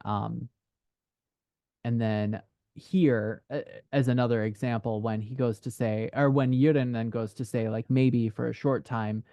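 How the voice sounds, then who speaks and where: A man speaks at a middling pitch in a close, dry recording. He coaches a writer in casual conversation, feeling his way through each point with halting, hedged phrasing as he thinks aloud. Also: steady tone, warm tone, no room sound. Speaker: dialogue writing coach.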